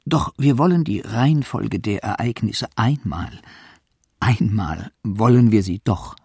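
A man playing the deliberate, orderly narrator of a literary radio feature about a poet's life. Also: fairly low pitch, darkish tone, husky, no room sound, clean studio sound. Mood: measured.

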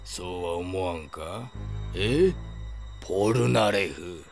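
A man's voice: deep voice, expressive, slow pace, vocal fry, full character voice. An actor playing a sadistic killer in an audio drama, mocking and gloating as he drawls. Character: sadistic villainous killer taunting his victim's avenger. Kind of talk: Japanese audio drama acting. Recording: loud dramatic music behind.

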